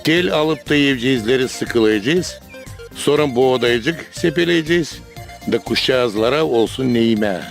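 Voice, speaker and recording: A man's voice: mid-range voice, expressive, a little slow, gravelly, soft background music. A man with a Gagauz accent playing a kindly, instructive grandfather in a children's cartoon. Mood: patient, instructive.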